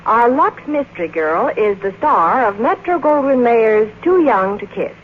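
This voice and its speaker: A woman's voice: a little high, expressive, unhurried. An American woman delivering a scripted 1950s radio advertisement in a perky, salesy way. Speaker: commercial spokeswoman. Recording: tinny, hissy vintage radio broadcast.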